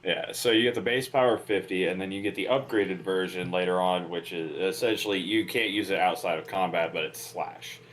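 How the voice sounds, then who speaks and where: A man with a booming voice co-hosting a casual gaming podcast over a remote call, explaining things at length. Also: brisk, few pauses, a bit bright, slight background noise. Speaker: podcast co-host. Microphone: better home microphone over a remote call.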